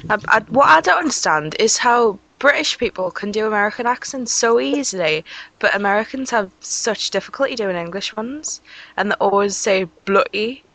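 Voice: mid-range voice, lively intonation, normal speed, creaky, sharp tone, no room sound, low-quality recording. A chatty speaker who laughs easily, talking on a group voice call. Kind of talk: casual group voice chat.

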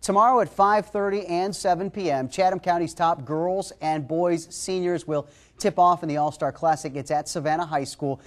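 Man, middle-aged sports anchor, high voice, scripted news read, highlight commentary. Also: a little hiss or hum. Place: TV studio.

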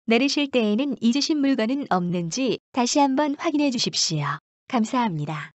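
A woman reading scripted subway announcements as a train announcer, formally and with measured enunciation. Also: on the high side, lively intonation, dry recording, lo-fi sound.

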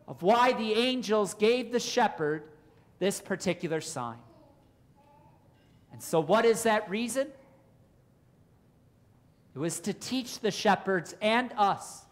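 Pastor preaching, deliberate and emphatic. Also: male voice, high voice, fairly bright tone. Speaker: Lutheran pastor. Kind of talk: sermon with rhetorical questions. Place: church, at a pulpit indoors.

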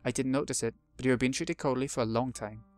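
A man's voice: mid-range voice, fairly even intonation, quick pace, darkish tone, no room sound, soft background music, close to an everyday voice. A man voicing an earnest, eager boy reassuring a friend.

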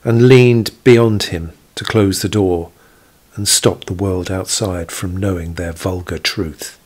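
A brooding narrator of a period romance, speaks in a fairly low voice. The delivery is unhurried and deliberate, as a literary audio drama told by a male storyteller. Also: steady tone, darkish tone, husky, heavily acted. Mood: grave, brooding.

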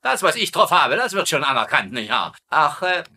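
An actor playing a vain, gullible, middle-aged German camp commandant with a pompous manner in a sitcom dub. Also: male voice, on the high side, big pitch swings, moderate pace, pushed and bright, exaggerated voice. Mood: self-important. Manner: pompous, repeating tag questions. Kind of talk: dubbed sitcom acting.

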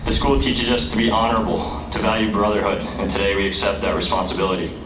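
A man's voice: fairly low pitch, fairly even intonation, quick pace, noisy background. A young man speaking at a podium on an outdoor lawn, delivering an earnest commencement speech that rises to emphatic declaiming. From United States. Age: young adult. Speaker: student speaker. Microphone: podium microphone, recorded by a distant camera. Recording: roomy, distant, dull outdoor PA sound.

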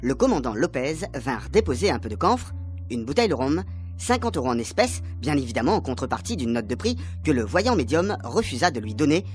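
A man's voice: mid-range voice, very animated, a little fast. A man giving dramatic, scripted narration for a radio serial. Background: faint music.

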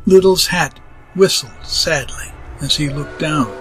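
A man's voice: a little high, unhurried, music in the background, rough recording. A man narrating a dramatized audiobook story.

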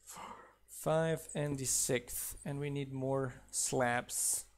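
A man's voice: medium pitch, steady tone, drawn-out words. A man whose first language is not English, a mod developer chatting casually while building in a live game stream, counting blocks aloud and laughing at his own mishaps.

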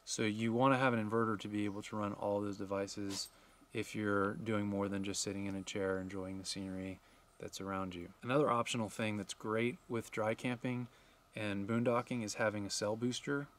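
A man in his forties gives practical camping tips to the camera in a steady, explaining way, speaking outdoors at a wooded campsite. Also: lowish voice, normal speed. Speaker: RV travel vlogger. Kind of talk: instructional vlog to camera.

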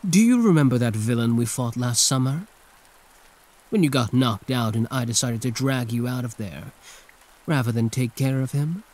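A man's voice: medium pitch, lively intonation, normal speed, darkish tone, close to an everyday voice. A man playing a condescending yet protective hero turned villain in an intimate, theatrical ASMR roleplay monologue. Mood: earnest, confiding.

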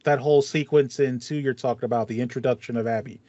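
A man's voice: medium pitch, fairly even intonation, fast, mellow, no echo, dull audio. A man in his thirties with an American accent, co-hosting a casual podcast chat with slangy, storytelling asides. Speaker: podcast co-host. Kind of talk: casual chat about video games. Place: small home room with foam wall panels. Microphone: headset, video call.

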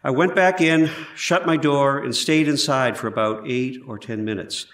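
A man playing an 18th-century surgeon who gives measured, precise testimony in a scripted trial re-enactment. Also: medium pitch, a bit bright, lightly acted. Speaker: actor in a historical trial re-enactment. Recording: roomy echo, distant from mic.

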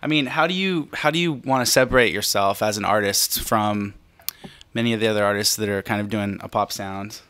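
A man's voice: lowish voice, fairly quick, a bit bright, clean tone. A young man, hosting a casual music interview and asking conversational questions. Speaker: interviewer, music show host. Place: indoor music studio. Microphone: stand microphone.

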